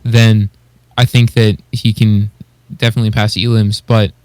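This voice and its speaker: A man's voice: lowish voice, flat delivery, moderate pace, a little mellow, no room sound. A man co-hosting a podcast, chatting casually in a tentative, hedging way. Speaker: podcast co-host. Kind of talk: casual chat about beatbox competitors.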